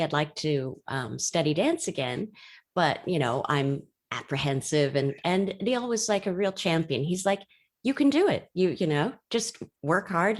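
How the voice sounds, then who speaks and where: Woman from canada, low voice, soft-spoken, hesitant, guest. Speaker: musical co-creator, guest. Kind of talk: interview answers.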